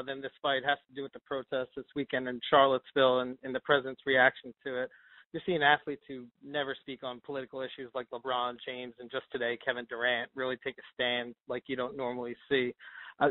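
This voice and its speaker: A man talks quickly down a tinny, dry phone line. He is a reporter laying out a press question in a medium-pitched voice. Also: no room sound. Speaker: newspaper reporter asking a question. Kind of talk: press question on a conference call.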